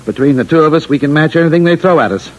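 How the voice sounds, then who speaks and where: An American actor playing a cocky, excitable Earth engineer in a 1950s radio drama. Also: male voice, a little high, racing, lightly acted. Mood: restless, determined.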